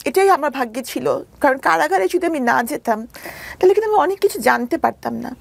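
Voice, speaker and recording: A woman's voice: sits high, animated, fast talker, creaky. A woman with a Bangladeshi accent telling her personal story as an interview guest, emphatic and insistent, often repeating her points.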